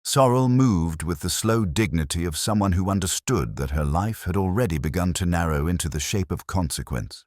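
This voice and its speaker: Really deep guy reading a detective story in first person, serious and measured, lots of rise and fall. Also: animated, a little mellow, no echo, studio quality. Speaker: narrator.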